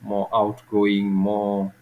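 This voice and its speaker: Deep, flat-voiced Kenyan man. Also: narrow, tinny audio, slight background noise. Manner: halting, many repeated words and fillers. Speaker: podcast guest. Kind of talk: reflective interview answers.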